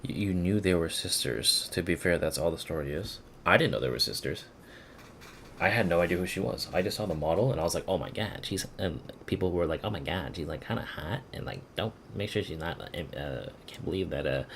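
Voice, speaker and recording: A man's voice: low voice, expressive, brisk, vocal fry, fairly bright tone, a little hiss or hum. A man doing casual live game stream commentary in a slangy, rambling way with stammered repeats.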